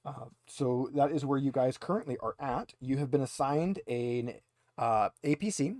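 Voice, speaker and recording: A man's voice: medium pitch, normal speed, a little mellow, no room sound, clean studio sound. A man running a tabletop roleplaying game, narrating conversationally with wry asides. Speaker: game master.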